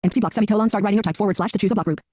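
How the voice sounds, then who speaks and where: A synthetic male screen reader voice announcing interface text in a flat, robotic way. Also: high-pitched, rapid-fire, no echo.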